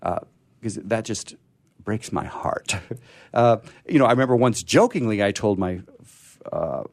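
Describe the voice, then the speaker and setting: Low-voiced, animated male radio host with halting delivery. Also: no room sound, clean studio sound. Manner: halting, often stammering and restarting mid-phrase. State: sore throat. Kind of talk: casual unscripted radio talk.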